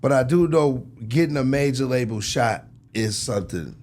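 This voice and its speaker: A man's voice: medium pitch, expressive, moderate pace, darkish tone. A man talking casually as a record executive in an interview, emphatic and slangy, repeating phrases for effect. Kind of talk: casual, animated interview talk.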